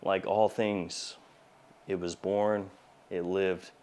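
A man's voice: sits low, flat delivery, slow pace, darkish tone, slight background noise. A man in his forties with a slight head cold, giving a casual, jokey product review as a video host. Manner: playful, jokey, wry asides. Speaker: fragrance review channel host. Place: small indoor studio.

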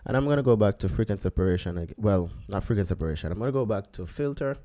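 A man with a low, mellow voice walks through a photo edit on screen. He talks in an easy, unhurried way, thinking aloud as he clicks through each step. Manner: relaxed, thinking aloud while working. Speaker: photographer teaching photo editing. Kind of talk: screen-recorded software tutorial.

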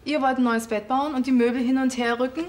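A woman's voice: high voice, fairly even intonation, quick pace, a little mellow, slight background noise, close to an everyday voice. Actress as firm, sceptical schoolgirl. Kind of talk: TV series acting.

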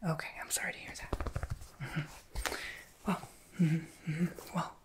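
A woman whispers in a fairly low, breathy voice. She plays a shop clerk in an ASMR roleplay, chatting playfully to a customer. Her delivery is informal, with slangy little asides. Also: level tone, a little slow. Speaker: ASMR performer playing a shoe shop clerk.

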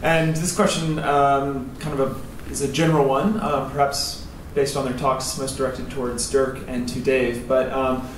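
A man's voice: medium pitch, little pitch movement, moderate pace, few pauses. A man in the audience, a PhD student, asks a rambling, hesitant question through a roving microphone. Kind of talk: asking a question at a seminar. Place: lecture theatre. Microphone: roving handheld microphone. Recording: roomy hall, distant, noisy.

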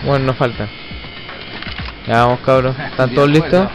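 A man's voice: mid-range voice, moderate pace, rough recording. A Chilean man chatting and calling out to teammates in a slangy, profane way as he plays an online shooter. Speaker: online co-op gamer leading the team. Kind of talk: casual gaming chat with team callouts. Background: zombie shooter game audio with music.

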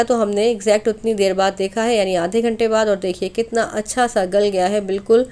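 Higher-pitched woman narrating a cooking tutorial. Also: a little mellow. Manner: instructional, addressing viewers directly. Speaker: cooking channel host.

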